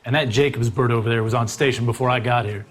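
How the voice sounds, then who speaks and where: Man playing a mid-pitched American patrol cop. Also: fairly even intonation, fast, lightly acted. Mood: dutiful. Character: deferential, plain-spoken male patrol officer. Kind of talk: video game voice acting.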